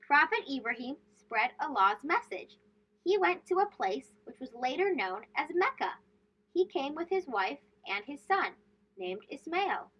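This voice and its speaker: A woman with a high voice reads a storybook aloud for children. Her intonation is very animated, with emphatic phrasing and questions aimed at young listeners. The recording is a little dull. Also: unhurried. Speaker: host of a children's read-aloud show.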